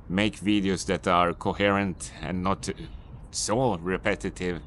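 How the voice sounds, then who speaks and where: A Swedish man talks straight to camera in unscripted English, his voice a little low and his advice circling back on itself as he admits to some annoyance. Faint city ambience hums behind him out on the street. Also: a bit bright, slight background noise. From Sweden. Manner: rambling, repetitive, mildly annoyed advice-giving. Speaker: vlogger, business owner. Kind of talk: unscripted vlog monologue.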